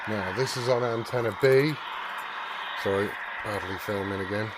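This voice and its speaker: A man's voice: on the low side, moderate pace, heavy background noise. A man giving a casual, sweary hands-on repair vlog, muttering to himself as he works. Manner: informal, sweary, muttering to himself while working. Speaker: hobbyist video host.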